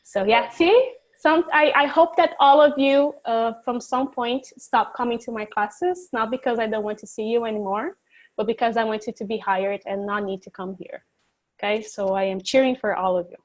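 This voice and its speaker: A woman's voice: on the high side, fairly even intonation, moderate pace, poor audio. A woman teaching an online English class, chatty and encouraging, laughing easily and joking about herself.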